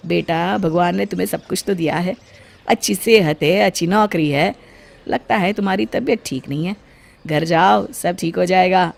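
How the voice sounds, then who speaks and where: A woman's voice: on the low side, normal speed, vocal fry. A woman voicing an amused, patronising stranger giving advice in a story. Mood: amused, advising. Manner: patronising, advising.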